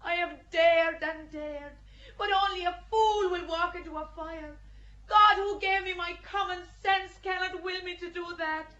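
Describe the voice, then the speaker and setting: A high-pitched, devout young peasant maid in an audio drama. She speaks bluntly and earnestly, with open feeling. The sound is slightly dull. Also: steady tone, unhurried, bright, forceful tone, heavily acted. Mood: anguished despair. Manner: plain-spoken, earnest, emotional. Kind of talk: audio drama acting of a stage play.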